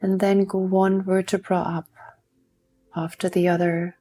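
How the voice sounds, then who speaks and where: A woman leading a guided mindfulness meditation in a soft, soothing manner, unhurried and leaving long pauses between instructions. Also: medium pitch, flat delivery, faint music behind.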